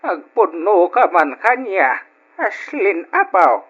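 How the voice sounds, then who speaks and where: An actor playing a concerned old grandfather in a radio drama, speaking in a grave, drawn-out way with an Ilocano accent. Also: sits high, very animated, a bit bright, husky, faint music behind, full character voice. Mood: probing, concerned. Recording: dull lo-fi old radio sound.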